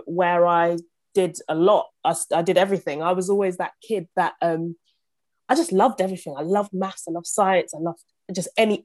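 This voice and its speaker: Chatty woman, bright laughs. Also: on the low side, no echo. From born in Uganda, raised in Southeast London. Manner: animated and chatty, laughs often, many restarts. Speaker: podcast guest, property investor. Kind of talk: casual interview chat.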